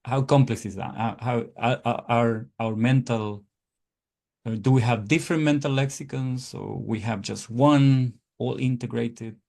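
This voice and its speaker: A man hosting a podcast interview, asking questions in a halting way and repeating words as he goes. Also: medium pitch, vocal fry, a little mellow. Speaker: podcast host.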